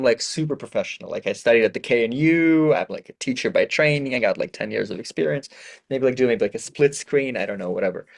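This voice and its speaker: A man talks loosely and casually, drifting through his points with plenty of fillers and the odd curse. He sounds like a podcast host chatting with a guest. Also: mid-range voice. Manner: relaxed, rambling, swears casually. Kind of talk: casual advice chat.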